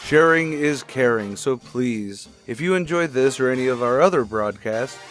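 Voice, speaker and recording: A man's voice: medium pitch, expressive, moderate pace, a bit bright, faint music behind. A man reading scripted announcements in the style of a radio show's announcer. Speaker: podcast announcer.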